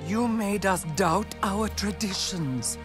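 A weary but commanding alien spiritual leader in a game cutscene. She speaks very slowly and solemnly, with prominent music underneath. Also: mid-range voice, animated. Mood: grieving, condemning. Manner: solemn, deliberate. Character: weary, grave female Na'vi spiritual leader, authoritative. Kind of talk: dramatic video game cutscene acting.

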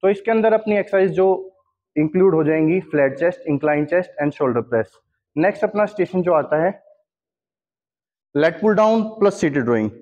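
High-voiced Indian man in echoey showroom. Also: expressive, moderate pace, a little mellow. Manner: explanatory, Hindi mixed with English terms. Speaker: gym equipment seller. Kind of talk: product demo walkthrough. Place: gym equipment showroom. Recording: long hall reverb, dull lo-fi sound.

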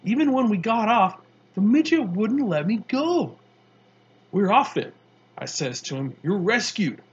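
A brash young street boy, put on by a man, tells his tale in a raised, slangy rush. The voice sits well above a grown man's, with a husky edge. The recording sounds a little dull and muffled. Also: sits high, unhurried, pitched above the performer's own voice, heavily acted. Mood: excited. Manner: slangy, boastful, excitable storytelling.

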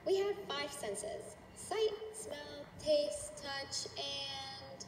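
A woman's voice: high voice, drawn-out words. A woman voicing a cheerful but menacing cartoon schoolteacher, sugary and condescending as she addresses a class. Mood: sweetly strict. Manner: sugary, gushing, condescending with veiled threats. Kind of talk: character voice acting, addressing a class. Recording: roomy, distant, echoing with noise.

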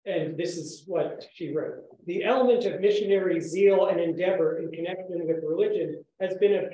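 A man giving an academic conference talk as a lecturer, speaking in a measured, formal, articulate way in an indoor room. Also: high voice, mellow. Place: echoey indoor room, speaker standing while presenting. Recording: very echoey room sound.

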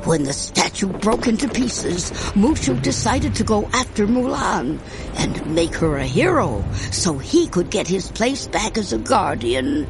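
A woman playing a fond grandmother, narrating a storybook in a measured, expressive way. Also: medium pitch, music in the background, low-quality recording. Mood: fond, dramatic.

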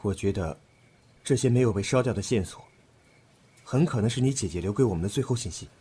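A man acting in a Chinese audio drama as an earnest, thoughtful young man, expressive and musing. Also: lowish voice, quick pace, a little hiss or hum, natural voice. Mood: pondering.

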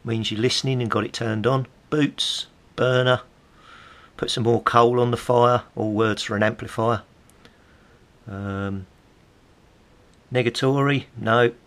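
A man's voice: medium pitch, steady tone, unhurried, long pauses, fairly bright tone. A middle-aged man with an English accent, chatting casually as he reads bits aloud, with wry, amused asides. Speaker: vlogger, CB radio hobbyist. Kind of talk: casual commentary while leafing through old magazines, reading bits aloud.

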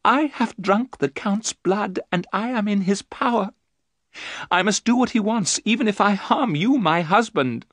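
A frightened young wife caught in a vampire's spell, as a male narrator puts her on, in a high, pleading voice lifted well above his own. The sound is dry and close. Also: lively intonation, unhurried, fairly bright tone, no echo, exaggerated voice. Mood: despairing.

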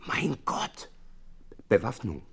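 An actor playing a detective's earnest, easily exasperated doctor companion in a German audio drama, with a husky voice. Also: male voice, on the low side, sing-song, takes it slowly, exaggerated voice. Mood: intrigued. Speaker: narrator and character.

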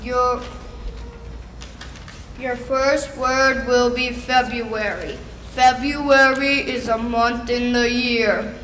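A woman playing a formal, deliberate spelling bee announcer in an amateur puppet show. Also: fairly high pitch, fairly even intonation, fairly bright tone. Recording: echoey room, faint noise.

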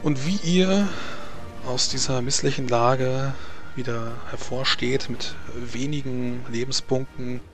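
A man's voice: medium pitch, fairly even intonation, takes it slowly, over music. A man speaking as game master of a tabletop role-playing session.

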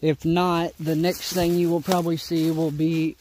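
A man's voice: a little high, flat delivery, moderate pace, a little mellow. A man rambling in a folksy, casual way as he films an outdoor vlog, thinking aloud while walking. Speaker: outdoor vlogger. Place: outdoors in leafy winter woods. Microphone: handheld camera or phone, behind the lens. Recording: handheld outdoor recording with noise.

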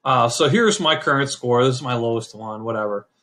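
A middle-aged man hosting a casual screen-share walkthrough, talking in a relaxed, rambling way and correcting himself as he goes. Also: medium pitch, fairly quick. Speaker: channel host explaining credit scores.

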